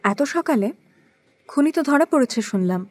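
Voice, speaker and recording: A woman's voice: high voice, expressive, moderate pace, darkish tone, dry recording. A woman playing a cool, guarded suspect in an audio drama, curt, evasive and provocative. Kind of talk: Bengali audio drama acting.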